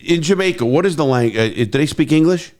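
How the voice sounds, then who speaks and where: A man's voice: a little high, expressive, brisk, a crowd in the background. A man with a New York accent hosting a chat. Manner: interrupting, ribbing and laughing. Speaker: podcast host. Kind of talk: casual chat.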